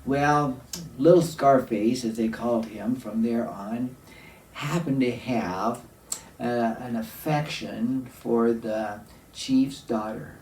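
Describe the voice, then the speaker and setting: A man tells a folktale to children at an unhurried pace, pausing for effect. His voice sits in an echoey room, some way from the microphone, with faint noise behind it. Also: medium pitch, darkish tone. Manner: deliberate, with dramatic pauses and questions to the listener. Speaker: storyteller. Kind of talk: oral storytelling to children. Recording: roomy echo, distant mic, faint noise.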